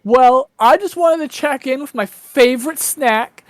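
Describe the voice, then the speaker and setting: A woman's voice: high voice, measured pace, no room sound, studio quality, voice pushed up. A woman voicing a scheming spider who runs a circus, tossing off threats casually. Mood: breezy. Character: scheming spider circus boss, sly and menacing.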